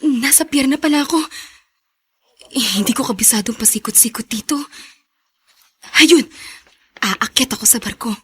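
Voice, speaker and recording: A poor young runaway in a radio drama. Her voice sits fairly high, and she speaks with deference, timid and quick to apologize. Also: slightly rough. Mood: anxious, hurried. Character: timid, polite young runaway woman, poor factory worker. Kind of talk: Filipino radio drama acting.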